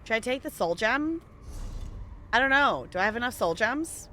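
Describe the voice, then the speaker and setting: A woman's voice: on the high side, expressive, normal speed, fairly bright tone, slight background noise. A woman streamer chatting playfully and rambling through casual live commentary on a game.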